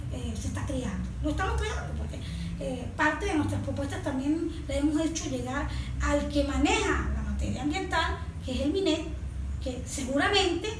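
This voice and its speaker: A woman with a Venezuelan accent speaks in a high voice, explaining things point by point with emphasis. She sounds like a consultant laying out a proposal. The recording is very reverberant and picked up from a distance, with clear background noise. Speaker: environmental consultant, interview guest.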